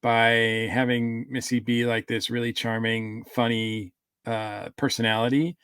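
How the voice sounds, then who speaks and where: A man co-hosting a podcast, talking through a review casually in a measured, halting way. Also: medium pitch, little pitch movement, fairly bright tone, clear, resonant tone, dry recording, clean studio sound. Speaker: podcast co-host.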